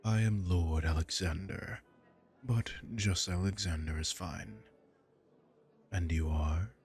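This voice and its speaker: A man voicing a suave aristocratic male vampire lord in an ASMR roleplay, smooth and teasing. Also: low voice, slow, a little mellow, faint music behind. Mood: smooth menace.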